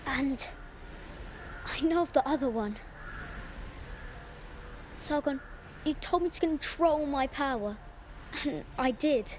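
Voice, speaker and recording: A woman's voice: high voice, slow pace, long pauses. A woman voicing a lost, grieving young boy in a video game, earnest and plaintive. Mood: sad, troubled. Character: grieving, lost young boy who leaps through time. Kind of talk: dramatic video game acting. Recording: noisy ambience behind the voice. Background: loud ambient noise.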